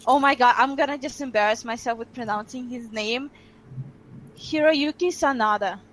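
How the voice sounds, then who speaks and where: Female podcast panelist, high voice, casual, decisive, assertive delivery. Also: moderate pace, a bit bright, low-quality recording. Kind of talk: casual group discussion.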